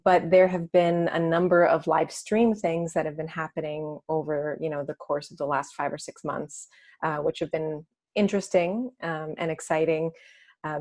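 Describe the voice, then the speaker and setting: Low-pitched american woman chatting on a livestream, rambly, lots of ums. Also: little pitch movement, moderate pace, a little mellow. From United States. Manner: thoughtful, rambling with many fillers. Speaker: guest musician. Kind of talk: casual interview over a livestream.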